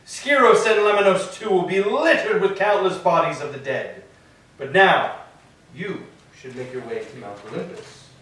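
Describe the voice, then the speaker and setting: A man's voice: high-pitched, animated, moderate pace, fairly bright tone. A man playing a grand, commanding sea god, speaking formally in a staged reading of Greek tragedy. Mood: commanding, solemn. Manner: formal, declamatory. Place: echoing church interior. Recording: very echoey hall, distant mic.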